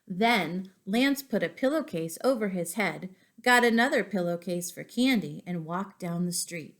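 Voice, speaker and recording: A woman teacher reading poems and picture books aloud to young children, as an expressive, deliberate storyteller. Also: fairly low pitch.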